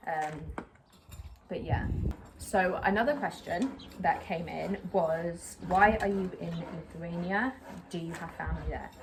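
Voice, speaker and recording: A woman's voice: fairly low pitch, a little slow. A young woman chatting to the camera while repotting a plant outdoors, rambling with lots of fillers. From England. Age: young adult. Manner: rambling, filler-heavy, self-conscious. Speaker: plant vlogger. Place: outdoors on a patio by a brick wall, a little windy. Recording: clear outdoor noise behind.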